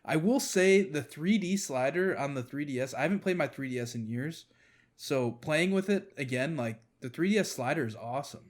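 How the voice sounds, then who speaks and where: A man's voice: fairly high pitch, animated, moderate pace. A man co-hosting a podcast, chatting informally in a loose, rambling way. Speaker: podcast co-host. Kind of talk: casual chat about games.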